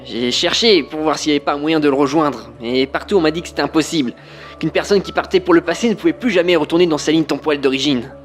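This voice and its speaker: Higher-pitched, resigned grieving man played by an actor. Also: fast, faint music behind, natural voice. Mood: sad, resigned. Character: man mourning a friend who vanished, resigned. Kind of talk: acted testimony in audio drama.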